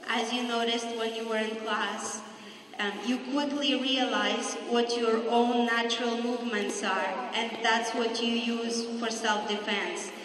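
A woman giving calm, steady live commentary at a martial arts demonstration, with frequent pauses and ums. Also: fairly high pitch. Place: large gym hall. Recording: echoey hall, far from the mic.

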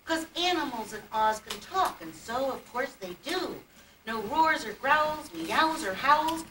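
A woman playing an excitable, jolly puppet storyteller, reciting rhymed verse in a singsong theatrical way. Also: high-pitched, unhurried. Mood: merry. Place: indoor puppet stage, far camera. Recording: distant, echoey, noisy old camcorder tape.

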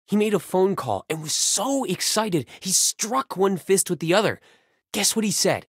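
Boyish, animated young pop singer. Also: male voice, high-pitched, moderate pace, husky, no room sound, studio quality, full character voice. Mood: animated storytelling. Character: playful, affectionate young male pop singer. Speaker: voice actor in a mobile romance game. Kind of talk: scripted game phone-call dialogue.

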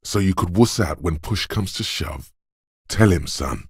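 An actor voicing a gruff, domineering inner voice of brute strength in a video game, husky and rumbling, with scornful, deliberate drill-sergeant delivery. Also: male voice, expressive, no echo, clean studio sound, exaggerated voice. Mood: scornful, goading. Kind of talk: video game character narration.

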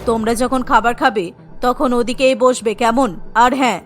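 A woman's voice: fairly high pitch, steady tone, moderate pace, soft background music, voice pushed down, natural voice. A woman voicing a snobbish rich middle-aged mother in a cartoon, who talks in a contemptuous, condescending way. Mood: scornful.

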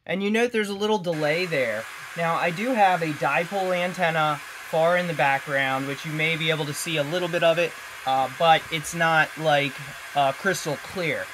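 A man's voice: fairly high pitch, normal speed. A man giving a casual, conversational hands-on tech tutorial, explaining as he goes. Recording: clearly noisy home-video recording.

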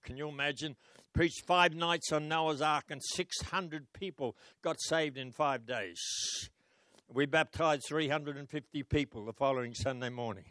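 A man's voice: a little high, measured pace, no echo. An older man with an Australian accent, preaching a sermon on a church stage into a handheld mic, telling stories and calling out to the congregation. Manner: storytelling preacher, rising to loud exclamations and calling out to the congregation. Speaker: pastor.

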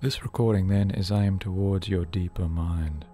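Low, mellow male hypnosis guide. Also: steady tone. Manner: soothing, measured, deliberate phrasing. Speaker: hypnotherapist host. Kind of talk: guided sleep hypnosis narration. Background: background music.

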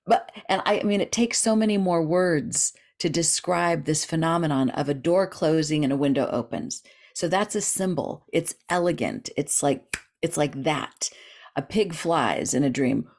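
A middle-aged woman with a low voice speaks in a relaxed, chatty way, explaining ideas with lively energy. She talks over a video call, warm and enthusiastic in delivery. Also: normal speed. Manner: animated, gestures with hands while talking. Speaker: dream expert guest. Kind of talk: casual interview chat.

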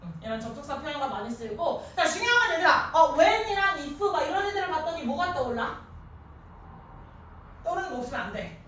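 Lady teacher quizzing her class, sharp bright voice, kinda far from the mic in a roomy classroom, animated. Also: high-pitched, a little fast. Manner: animated, quizzing, informal, often prompting with questions. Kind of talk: classroom grammar lesson with questions to students. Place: indoor classroom at a chalkboard. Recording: echoey classroom from a distance, faint noise.

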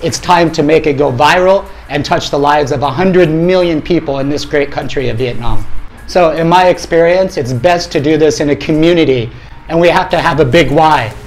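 A man's voice: fairly high pitch, normal speed. A man in his forties, a fitness company head, giving an emphatic motivational talk to camera in a small gym studio, speaking into a lapel mic. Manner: energetic, emphatic, gestures widely with his hands.

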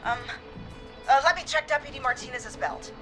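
A woman's voice: high voice, moderate pace, pushed and bright, soft background music. A woman acting a resolute but frightened police dispatcher in a video game, urgent and hesitant under stress. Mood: hushed, resolute.

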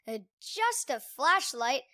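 Plucky young boy hero, voiced by a woman, excitable, bright, high, storybook adventure. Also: takes it slowly, exaggerated voice. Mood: wondering.

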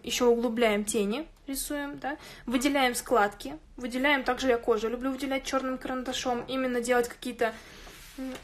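A woman hosting an informal drawing tutorial, chatting and thinking aloud as she works. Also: fairly high pitch. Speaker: art tutorial host. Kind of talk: informal drawing tutorial, explaining while working. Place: indoors at a drawing table.

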